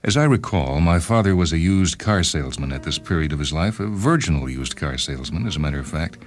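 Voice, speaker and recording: A man voicing a pedantic, self-absorbed inventor in his thirties, narrating a science-fiction story in the first person. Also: a bass voice. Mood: wry, detached. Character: obsessive thirty-two-year-old time-travelling inventor, pedantic, coolly murderous. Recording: music behind the reading.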